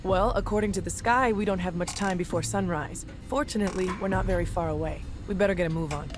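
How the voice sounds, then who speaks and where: A woman's voice: on the low side, quick pace, over music, natural voice. An actress playing a brash, worldly woman guide in a sci-fi video game, speaking bluntly and with assurance. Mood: urgent, decisive.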